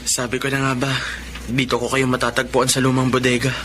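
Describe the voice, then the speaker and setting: A man's voice: mid-range voice, completely flat delivery, fast talker, noisy background, natural voice. A man acting an earnest young adult son with a Filipino accent and emphatic, emotional delivery in a radio drama. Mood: gentle.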